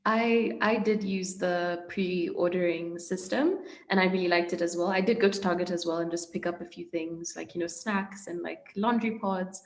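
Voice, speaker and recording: A young woman, a native Swahili speaker, answering student questions in a friendly, thoughtful way with many pauses. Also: lowish voice, fairly even intonation. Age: young adult. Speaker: student panelist. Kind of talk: casual Q&A answers. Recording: echoey room.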